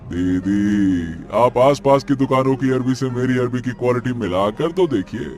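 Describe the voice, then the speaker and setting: A man's voice: mid-range voice, animated, moderate pace, darkish tone, natural voice. An actor playing a humble, earnest working man in a cartoon story, speaking politely in a coaxing, pleading way. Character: earnest humble male vegetable seller and eatery cook, pleading. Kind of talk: Hindi cartoon story voice acting. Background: background music.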